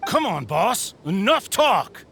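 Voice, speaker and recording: A man playing a jumpy, eager bandit underling in a video game, speaking excitably. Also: high voice, slow, creaky, husky, full character voice. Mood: impatient, alarmed.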